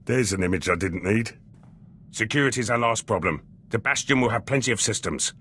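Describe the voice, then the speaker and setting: An actor playing a gruff, blunt military lieutenant in a video game, rough-voiced and speaking in clipped sentences. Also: male voice, fairly low pitch, brisk, sharp tone, a little hiss or hum. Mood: blunt, wry.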